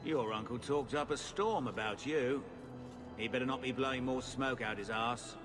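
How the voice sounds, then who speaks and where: An actor playing a blunt, rough, plain-spoken male mercenary captain in a video game. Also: medium pitch, normal speed, music in the background, close to an everyday voice. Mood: brusque, sizing up. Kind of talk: video game cutscene acting.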